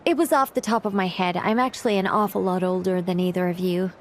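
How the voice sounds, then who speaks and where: A woman's voice: on the low side, fairly even intonation, fast talker, slight background noise, close to an everyday voice. A woman voicing a proud, needy, whimsical ancient mage in an anime game, theatrical, chatty and self-conscious. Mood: exasperated. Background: music.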